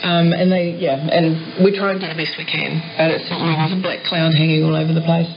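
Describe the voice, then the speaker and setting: A woman's voice: low-pitched, flat delivery, a little fast. A woman talking off the cuff as a business owner in a news interview. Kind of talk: spontaneous interview talk. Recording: muffled shortwave radio, clear static.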